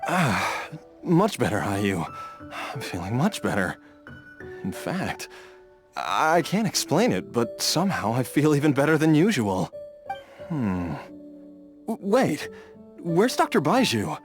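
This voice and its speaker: A man's voice: mid-range voice, sing-song, slow, long pauses, soft background music. A man playing a kindly, earnest father in a video game, a little hesitant. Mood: relieved. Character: kindly, grateful adult father recovering from illness. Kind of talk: video game character acting.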